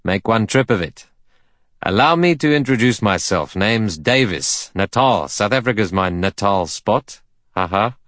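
Man voicing a loud, hearty, bluff colonial fellow. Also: lowish voice, lively intonation, a little slow, no room sound, studio quality. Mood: breezy, hearty. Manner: breezy, hospitable, hail-fellow. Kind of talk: audiobook character dialogue.

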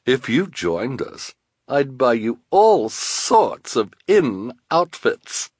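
A man's voice: mid-range voice, big pitch swings, takes it slowly, a little mellow, husky, no room sound, studio quality, pitched above the performer's own voice, full character voice. A man voicing a vain, mocking villainess with an affected manner in an audiobook story. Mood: coaxing. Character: mocking, vain, fashion-loving villainess, Esme.

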